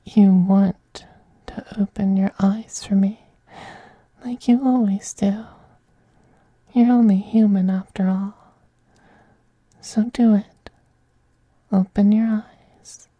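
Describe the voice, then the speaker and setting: A performer voicing a seductive, possessive male femboy vampire in an intimate ASMR roleplay, whispering softly and coaxing in a teasing, gently domineering way. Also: medium pitch, fairly even intonation, slow, pauses often, no echo. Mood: seductive, commanding. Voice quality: breathy, soft whispering.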